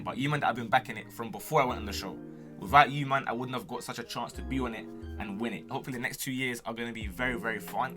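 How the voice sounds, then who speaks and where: A young man with a London accent chatting casually as a streamer, full of slang and cocky, playful banter. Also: medium pitch. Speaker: streamer and gamer answering viewer questions. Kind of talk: casual chat to camera. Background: music.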